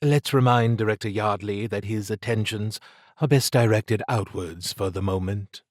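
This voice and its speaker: A man's voice: on the low side, normal speed, no echo, clean studio sound, lightly acted. A man voicing an authoritative, wry woman who commands a secret organisation, in audiobook dialogue. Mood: brisk, commanding. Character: brisk, resolute woman commander of a secret foundation.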